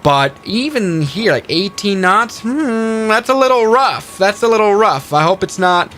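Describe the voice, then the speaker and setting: A man's voice: sits high, expressive, a little slow, fairly bright tone, slight background noise. A man casually talking through a flight simulator stream, narrating each step aloud and correcting himself as he goes. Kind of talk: casual live-stream commentary.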